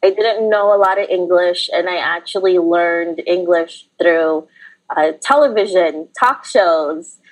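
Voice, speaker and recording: A woman from the Philippines, telling her story as a podcast guest in a relaxed way with some fillers. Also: on the low side, a little slow, poor audio. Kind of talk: casual interview talk.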